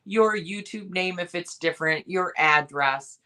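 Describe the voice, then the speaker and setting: A hoarse woman with a cough, hosting a casual live sale and chatting in a rambling way with many pauses and restarts. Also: fairly low pitch, sharp tone. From Michigan, USA. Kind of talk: casual live-stream chat.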